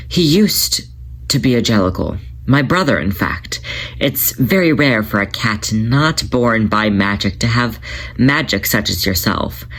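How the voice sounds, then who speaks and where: A man voicing a protective older male cat in a storybook reading, speaking softly and steadily. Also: lowish voice, heavily acted.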